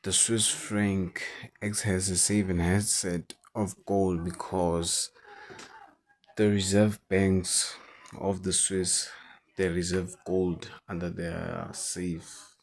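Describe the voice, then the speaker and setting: A man who is not a native English speaker, talking through forex charts in a halting way and repeating words as he goes. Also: deep voice, flat delivery. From South Africa. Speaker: forex trader. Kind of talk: chart analysis commentary.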